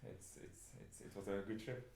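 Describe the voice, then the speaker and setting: A man's voice: normal speed, darkish tone, roomy sound. A man with a Hungarian accent, Hungarian his first language, talking in earnest and enthusiastic way in a casual book club conversation in a home studio. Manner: earnest and enthusiastic, laughs easily.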